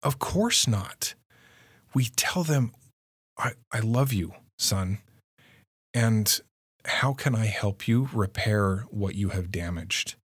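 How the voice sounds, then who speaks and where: A man's voice: fairly low pitch, lively intonation, slow, long pauses, breathy edge, no echo, clean studio sound. A man co-presenting a religious self-help lesson in an earnest, instructive manner.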